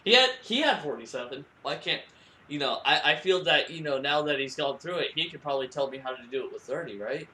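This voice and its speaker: A man making a prank call in a halting, awkward, deadpan and naive-sounding conversational manner. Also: a little high. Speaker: prank caller. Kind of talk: casual improvised phone conversation. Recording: roomy echo with faint background noise.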